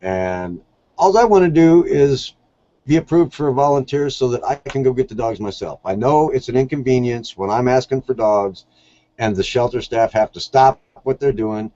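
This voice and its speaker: A man's voice: medium pitch, animated, normal speed, mellow, lo-fi sound. A man talking casually as an interview guest, telling stories in a plain-spoken, earnest way. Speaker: podcast guest, shelter dog volunteer.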